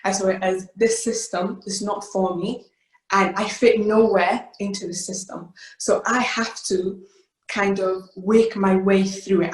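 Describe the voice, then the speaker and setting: A woman's voice: medium pitch, roomy sound, rough recording. An eighteen-year-old woman, giving an earnest personal talk with many fillers and restarts. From Wales. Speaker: youth ambassador. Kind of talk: personal talk to an online event.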